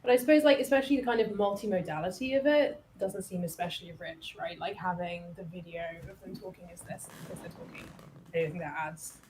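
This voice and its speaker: A woman with a slightly low voice talks casually as a game commentator. She reasons aloud, thoughtful and tentative, with noticeable noise behind her. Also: quick pace, darkish tone, poor audio. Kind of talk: casual discussion while playing. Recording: clear background noise over voice.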